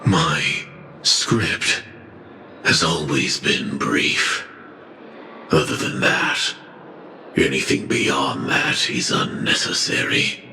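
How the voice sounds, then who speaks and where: Cold armored hunter, extremely deep. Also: male voice, vocal fry, bright, forceful tone, roomy sound, slight background noise, exaggerated voice. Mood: cold, guarded. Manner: terse, halting, grave. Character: cold, menacing armored male hunter, terse and enigmatic. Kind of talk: English video game voice acting. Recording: background music under dialogue.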